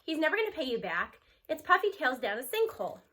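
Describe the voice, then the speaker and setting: A woman's voice: high voice, sing-song, fast, bright, forceful tone, full character voice. A woman voicing a smug, competitive middle-school boy in a children's storybook, with a blunt, taunting manner.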